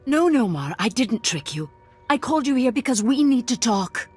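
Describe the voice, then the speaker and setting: An actress voicing a wary, stubborn, lovelorn middle-aged woman in a dramatic video game scene, with a husky voice. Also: fairly high pitch, very animated, normal speed, a little mellow, soft background music. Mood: pleading, heartfelt.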